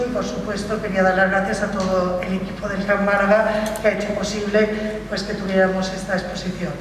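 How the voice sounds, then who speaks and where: A woman curator gives a loose, filler-dotted speech at a press conference. Her voice comes from a distance in a big hall with long reverb. Clear room noise sits behind her. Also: mid-range voice. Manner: thanking partners, relaxed with fillers. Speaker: exhibition curator. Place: large echoey museum hall.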